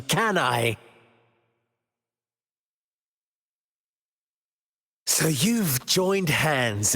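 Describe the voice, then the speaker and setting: A sly, mocking game villain. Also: male voice, on the high side, creaky, fairly bright tone, long reverb tail, exaggerated voice. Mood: taunting. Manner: teasing, drawn-out, theatrical taunts. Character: mocking young male villain, theatrical and sly. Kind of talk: video game cutscene acting.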